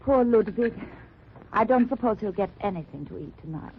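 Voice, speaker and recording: A woman acting a secretive, weary German resistance wife in a wartime radio play. She speaks in careful, earnest, formal phrases at a medium pitch. The vintage recording is muffled with faint noise. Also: animated, normal speed, lightly acted. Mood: bitter, resigned. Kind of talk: 1940s-50s radio drama acting. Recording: muffled, noisy old-time radio recording.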